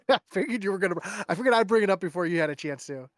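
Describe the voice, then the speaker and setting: A fairly high-voiced man tells workplace stories on a podcast, full of ums and uhs, now and then jumping up in pitch to imitate someone. His voice sits close and dry, with no room sound around it. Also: lively intonation, fast, no echo. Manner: chatty storyteller who mimics people in raised voices. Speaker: guest. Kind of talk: casual podcast chat.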